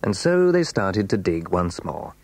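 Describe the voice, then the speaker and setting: A man with a husky voice narrating a scripted children's story as its storyteller. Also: deep voice, sing-song, unhurried, a little mellow.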